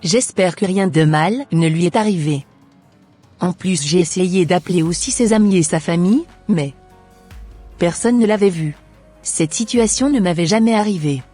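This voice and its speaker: Low, mellow-voiced trusting wife played by a woman. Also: normal speed, husky, faint music behind. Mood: worried. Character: trusting, kindly young wife and friend. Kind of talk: scripted cartoon dialogue.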